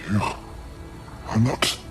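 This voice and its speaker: An actor playing a suffering mutant creature in a sci-fi audio drama, speaking in halting, broken phrases as if in pain. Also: male voice, over music. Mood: pained, weary. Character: pained, halting mutant creature, failed experiment.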